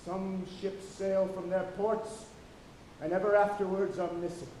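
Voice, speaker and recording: A man's voice: flat delivery, measured pace, dark tone. A man playing an old, obsessed whaling captain on stage, declaiming grandly and commandingly in an echoing auditorium. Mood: grave, brooding. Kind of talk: theatrical stage acting of a literary tragedy. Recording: echoey auditorium, low rumbling noise.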